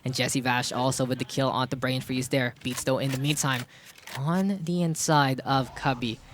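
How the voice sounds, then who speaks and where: A man with a Filipino accent doing live, animated esports play-by-play, switching between English and Tagalog. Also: mid-range voice, normal speed, noisy background. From Philippines. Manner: animated, rising with the action.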